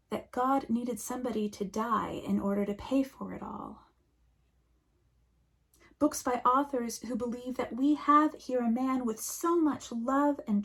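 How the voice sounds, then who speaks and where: A woman minister giving a reflective sermon, speaking slowly and softly, often dropping to a near whisper. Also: on the high side, breathy edge.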